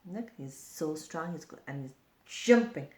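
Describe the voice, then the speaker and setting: A woman's voice: sits low, lively intonation, unhurried, a little mellow. A woman reading and explaining a story for young children in simple, repetitive phrases with questions. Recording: roomy, echoing indoor sound.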